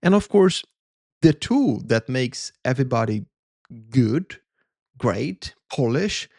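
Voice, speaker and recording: A man's voice: medium pitch, gravelly, a little mellow, no echo, clean studio sound. A man from Brazil with a Brazilian Portuguese accent, a Portuguese native speaker hosting a solo show, talking in an earnest, reflective way and searching for words in his second language. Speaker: podcast host.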